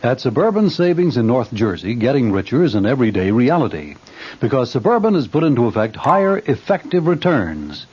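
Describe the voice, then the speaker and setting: A man's voice: mid-range voice, sing-song, fairly quick, darkish tone, slightly rough. A man reading a scripted vintage radio commercial as an announcer. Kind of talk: scripted vintage bank advertisement read. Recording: muffled old radio broadcast.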